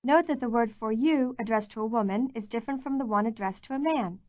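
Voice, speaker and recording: A woman narrating a language course, reading scripted prompts in a clear, measured way. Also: fairly high pitch, a little mellow, no echo, studio quality.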